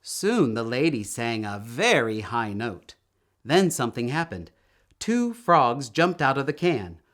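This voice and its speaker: Expressive male storybook reader, very lively pitch. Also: unhurried. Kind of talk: read-aloud children's story narration.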